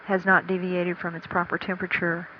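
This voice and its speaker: A woman giving unscripted, matter-of-fact status commentary from mission control in a halting way. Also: fairly low pitch, completely flat delivery. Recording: dull archival radio feed with faint noise.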